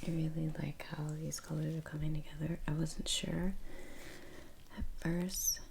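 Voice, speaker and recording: A woman speaking in a hushed, gentle, whispered ASMR style, murmuring casual painting commentary with long pauses, close to the microphone. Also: low-pitched, little pitch movement, creaky, a little hiss or hum. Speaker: ASMR creator painting on camera.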